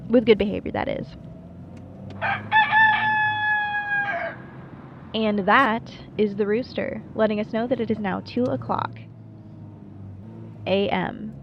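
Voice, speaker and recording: A woman's voice: medium pitch, steady tone, normal speed, vocal fry, lo-fi sound, very noisy, lightly acted. A woman playing a wry, playful small-town late-night radio host in a scripted monologue, chatty and dryly self-deprecating. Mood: wry, breezy. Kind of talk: scripted radio show monologue, audio roleplay.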